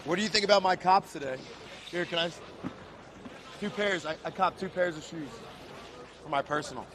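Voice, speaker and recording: A man hosting a casual livestream, loud and hyped, talking to viewers and guests. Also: a little high, fairly quick, noisy background. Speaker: host.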